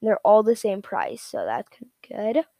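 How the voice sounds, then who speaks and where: A woman giving a casual, unscripted product rundown in a halting, repetitive, informal way. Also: mid-range voice, very animated, warm tone, dry recording, clean studio sound. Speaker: product reviewer.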